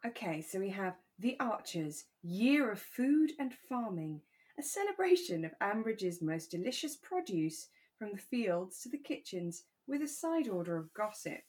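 Relaxed British woman chatting as podcast host. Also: medium pitch, a little slow. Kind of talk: relaxed casual chat.

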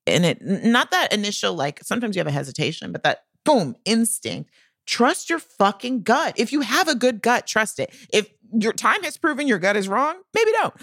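A woman's voice: medium pitch, normal speed, no room sound. Casual chatty woman, very dry close sound. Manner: giggly, animated retelling with acted-out quotes; informal, slangy, peppered with like and swearing. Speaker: podcast co-host. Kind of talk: casual personal storytelling chat.

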